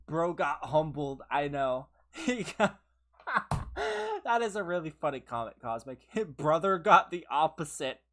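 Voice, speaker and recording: A woman This is a low, tired voice that is starting to wear thin. She streams a game from her own room and chats with viewers, laughing often. She teases them playfully, and her pitch jumps around a lot. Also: very animated, slow pace, fairly bright tone. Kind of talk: casual live gameplay commentary with chat.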